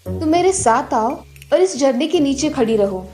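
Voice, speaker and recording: Lady doing a high voiced old granny from a fairytale cartoon, calm but stern, music behind her. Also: normal speed. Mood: stern, knowing. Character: old grandmother of a magic world, calm, stern. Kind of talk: Hindi moral-story cartoon voice acting.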